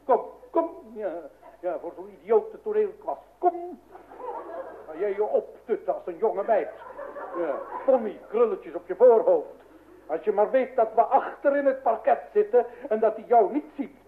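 A man's voice: high voice, animated, normal speed. An actor playing a grumbling, jealous old husband and father in a radio play, stammering and repeating himself. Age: older. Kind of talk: Dutch radio play acting. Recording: tinny band-limited old radio recording, noisy.

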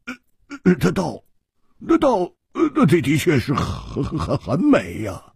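Cold, sharp-tongued jealous woman, acted by a male reader, high and husky, for a martial-arts story. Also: very animated, moderate pace, no room sound, studio quality, exaggerated voice. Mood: reluctant, hesitant. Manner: sneering, challenging.

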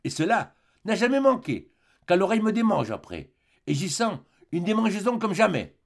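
A man's voice: high voice, big pitch swings, normal speed, vocal fry, voice pushed up, exaggerated voice. A man voicing a plaintive young peasant wife who frets and protests in an audiobook story. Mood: fretful protesting.

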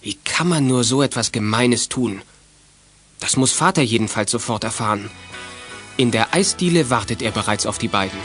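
A man narrating a German children's detective audio drama as a calm, scripted storyteller. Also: medium pitch, normal speed, soft background music, natural voice. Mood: involved.

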